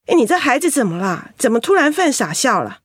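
A woman's voice: fairly high pitch, sing-song, brisk, husky, no room sound, studio quality. An actress playing a sensible, motherly senior colleague in a Mandarin radio drama, scolding yet caring, with a Taiwanese accent.

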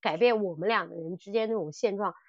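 A middle-aged woman co-hosting a podcast in casual chat, animated and blunt as she talks through audio dramas. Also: mid-range voice, fast talker, no room sound, highs cut off. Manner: animated and blunt, mimics characters' lines. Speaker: podcast host.

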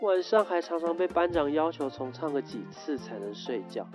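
A man's voice: on the high side, expressive, normal speed, natural voice. A man with a Taiwanese accent playing a young army conscript in a radio drama, earnest, chatty and good-natured. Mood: playful grumbling. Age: young adult. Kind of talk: scripted radio drama dialogue. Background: background music.

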